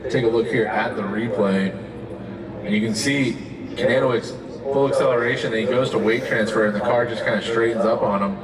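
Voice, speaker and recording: A man's voice: fairly low pitch, steady tone, a little fast. A man commentating live on drifting from a track booth, explaining the action in a casual play-by-play style. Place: announcer booth at an outdoor race track. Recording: echoey, distant tower audio, loud crowd and engines. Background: loud crowd and car engines.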